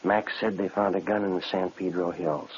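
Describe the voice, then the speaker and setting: A man's voice: sits low, fairly even intonation, moderate pace, natural voice. A man playing a sleepy, irritated jail cellmate in a 1950s radio drama, grumbling in a curt, dismissive way. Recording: muffled noisy old radio recording.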